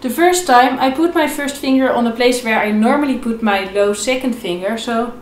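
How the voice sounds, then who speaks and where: High-voiced young woman teaching in echoey room. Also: normal speed. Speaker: violin teacher. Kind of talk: instructional video lesson, explaining informally. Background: violin playing between phrases.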